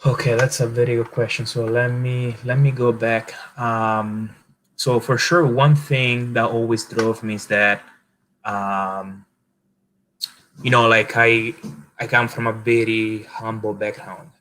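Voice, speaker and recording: A man in his twenties with an Italian accent, telling his life story as a guest in a casual interview, with many pauses and fillers. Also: medium pitch, fairly even intonation, fairly bright tone, a little hiss or hum. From Italy. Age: 20s. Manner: hesitant, many fillers and restarts. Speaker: podcast guest, entrepreneur.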